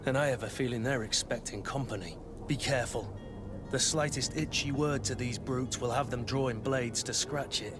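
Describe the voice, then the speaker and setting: An actor playing a blunt, world-weary but loyal mercenary bodyguard in a video game, with dry delivery. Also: male voice, medium pitch, normal speed, over music, natural voice. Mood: wary. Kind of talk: video game cutscene acting.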